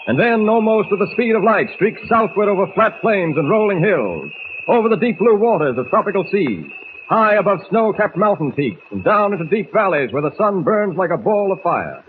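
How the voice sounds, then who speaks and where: A man's voice: sits high, sing-song, moderate pace. A man narrating a 1940s radio serial as announcer in an urgent, declamatory style. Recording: tinny, noisy 1940s radio recording.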